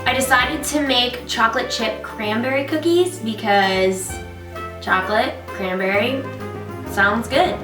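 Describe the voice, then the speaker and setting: A woman's voice: on the high side, a little slow. Stuffed-up, bubbly young woman vlogger. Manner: bubbly and jokey. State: stuffed-up, nasal from a cold. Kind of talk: casual kitchen tutorial. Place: home kitchen, loud stove. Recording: roomy, mic at a distance, music behind.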